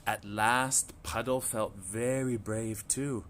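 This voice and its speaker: A man reading a picture book aloud to children in a slow, clear, expressive storytelling manner. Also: lowish voice, slight background noise.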